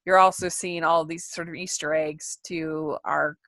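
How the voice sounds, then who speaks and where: Low-voiced woman chatting about film. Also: little pitch movement, unhurried, fairly bright tone, no echo. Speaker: podcast co-host reviewing animated films. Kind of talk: casual film discussion.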